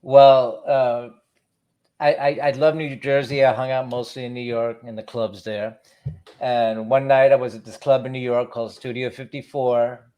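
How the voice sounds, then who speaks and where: A middle-aged man from Cuba, raised partly in the United States, reminiscing casually as a DJ guest in a relaxed, halting way. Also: mid-range voice, muffled recording. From born in Cuba, moved to the United States as a child. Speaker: DJ, podcast guest.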